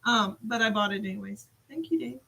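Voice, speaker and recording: Husky, bright-voiced woman with hoarse tone. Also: a little high, a little fast. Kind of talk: casual chat.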